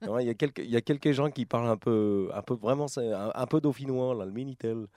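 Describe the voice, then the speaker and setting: Mellow-voiced male radio host. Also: medium pitch, fast talker, no echo, clean studio sound. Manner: jokey, teasing. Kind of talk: casual radio chat.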